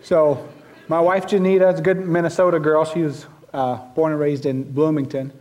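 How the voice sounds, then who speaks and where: A middle-aged man with a Southern US accent preaches from the pulpit, his voice sitting a little high for a man. He talks in a folksy, self-deprecating way, often restarting his sentences as he goes. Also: flat delivery, moderate pace, a little mellow. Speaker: pastor. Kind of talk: sermon.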